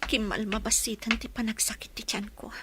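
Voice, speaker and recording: A woman acting a distressed pregnant young housemaid with an Ilocano accent, pleading and halting in a radio drama. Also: a little high, little pitch movement, in a whisper, natural voice. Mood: fearful, pained.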